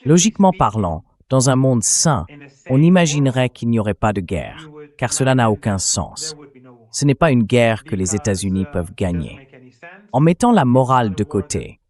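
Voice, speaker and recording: A man's voice: medium pitch, a little mellow. Firm, emphatic male professor. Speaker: professor and guest. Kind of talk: dubbed interview commentary. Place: in Tehran.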